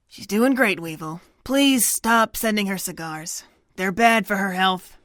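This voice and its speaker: A woman's voice: medium pitch, slow pace, a bit bright, dry recording, studio quality. A woman playing a tough, exasperated pony security guard in an audio drama, with a blunt, no-nonsense way of talking.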